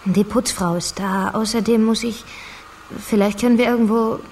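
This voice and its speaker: A woman's voice: on the high side, fairly even intonation, normal speed, darkish tone, a little hiss or hum, natural voice. An actress playing a guarded, irritable young mother in a radio crime drama, with a terse, defensive manner. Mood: flustered, evasive.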